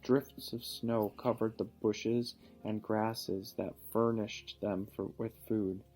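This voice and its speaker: Slow, mellow man reading a storybook aloud. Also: fairly low pitch, little pitch movement, soft background music. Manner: patient, encouraging, asks questions. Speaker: father reading a picture book aloud to a child. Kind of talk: storybook read-aloud with teaching asides.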